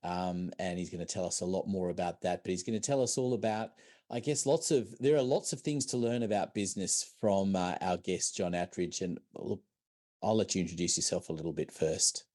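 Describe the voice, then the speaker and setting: Deep-voiced, rambling male podcast host. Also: fairly quick, warm tone. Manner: loose, rambling questions. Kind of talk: casual interview hosting.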